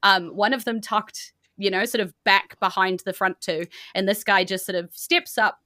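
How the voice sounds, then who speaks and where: A woman's voice: medium pitch, fairly even intonation, normal speed, bright, forceful tone, no room sound, studio quality. A woman with a New Zealand accent, improvising scene descriptions as game master in a loose, conversational way. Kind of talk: improvised tabletop game narration.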